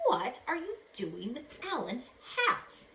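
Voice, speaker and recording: A woman voicing a kindly female neighbour in a storybook, speaking in a gentle, emphatic way. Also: sits high, wildly swooping pitch, takes it slowly, pitched above the performer's own voice, exaggerated voice. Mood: mock scolding. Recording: echoey room with background noise.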